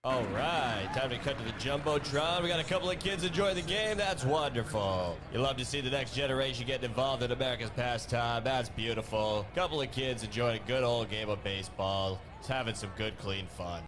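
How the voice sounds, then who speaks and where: A man's voice: mid-range voice, brisk, hardly any pauses, noisy background, lightly acted. A man playing a wholesome, easily scandalized baseball announcer in a mock sports commentary. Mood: folksy, pleased.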